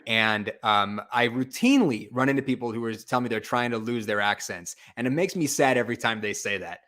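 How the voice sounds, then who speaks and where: A man's voice: mid-range voice, brisk, fairly bright tone. A man hosting a call-in show, chatting and telling stories casually with emphatic, slangy, informal phrasing. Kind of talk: casual chat, storytelling.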